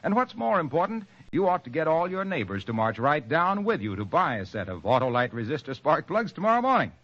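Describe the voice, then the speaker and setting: A man's voice: fairly high pitch, sing-song, brisk, a bit bright, exaggerated voice. An actor playing an exuberant salesman in a 1940s radio commercial, with flowery, emphatic patter. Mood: exuberant, boastful. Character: exuberant, fast-patter spark plug pitchman. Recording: muffled old radio broadcast.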